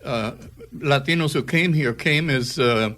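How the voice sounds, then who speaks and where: A man hosting a casual radio interview, speaking haltingly and often repeating words mid-sentence. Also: medium pitch, a bit bright.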